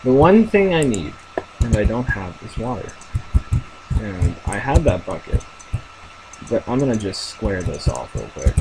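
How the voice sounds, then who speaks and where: A young man casually commenting on his own game as he plays, thinking aloud with lots of fillers and a deep voice. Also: big pitch swings, measured pace, pauses often, background noise. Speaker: gamer narrating his play.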